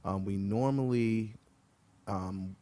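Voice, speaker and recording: A man from Liberia, a guest on a podcast, talking in a relaxed, earnest way with many ums. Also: fairly low pitch, takes it slowly. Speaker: guest, outdoor group co-founder. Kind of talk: casual podcast interview.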